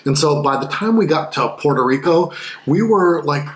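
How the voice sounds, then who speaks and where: A man's voice: on the high side, expressive, moderate pace, echoey room. A middle-aged man co-hosting a travel vlog, talking in an earnest, reflective way in casual chat. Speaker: vlog co-host.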